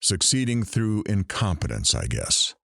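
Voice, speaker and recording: Radio drama host, played by a man: deep voice, expressive swings, weary, reflective, theatrical and measured. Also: vocal fry, no room sound, studio quality, full character voice.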